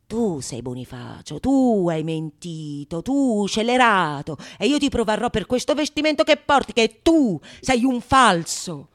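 A woman's voice: very animated, measured pace, few pauses. A woman playing a sly, bold male painter in a theatrical Renaissance comedy, declaiming righteous accusations. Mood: accusing. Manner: low, menacing, declamatory.

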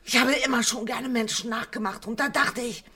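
Woman playing a husky, timid bar assistant. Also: on the high side, steady tone, a little fast, sharp tone. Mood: confessing. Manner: hesitant, timid, apologetic. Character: nervous, deferential young bar assistant. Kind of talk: radio drama acting.

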